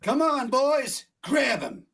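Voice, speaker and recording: A man performs an impressed, gruff police inspector from a 1940s radio mystery. The voice is high and animated with a gravelly crackle. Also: slow, full character voice. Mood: impressed, excited. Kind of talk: 1940s radio drama acting.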